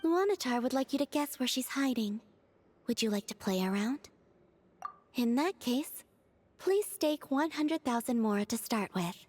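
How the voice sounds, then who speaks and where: A woman voicing a gentle, naive young moon goddess in a video game, soft and airy, with a measured, formal way of speaking. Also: on the high side, expressive. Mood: playful. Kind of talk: video game character acting. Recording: faint music behind the voice. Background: game music.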